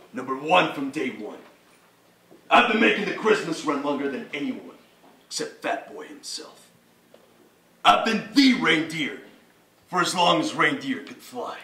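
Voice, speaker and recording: A man's voice: on the high side, pushed and bright, heavily acted. A man acting a proud, bitter veteran lead reindeer in a boastful, sardonic stage monologue. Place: indoor theatre stage. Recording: echoey theatre, distant mic.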